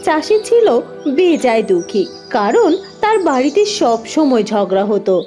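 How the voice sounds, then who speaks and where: High-voiced woman telling a story. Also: very animated, a little slow, warm tone, soft background music. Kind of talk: scripted fairy-tale narration for a cartoon.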